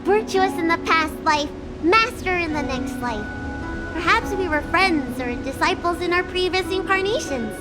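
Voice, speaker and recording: Video game role: small girl healer, high and animated, spirited yet burdened, over music. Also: moderate pace. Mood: wistful, earnest. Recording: loud music behind.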